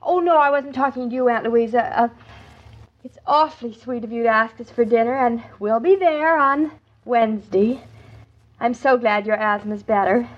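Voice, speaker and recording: A woman's voice: on the high side, normal speed, close to an everyday voice. An actress playing an eager, naive young expectant wife in 1940s radio comedy-drama style, chatty and girlish. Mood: gushingly polite. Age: young adult. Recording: dull lo-fi vintage radio recording.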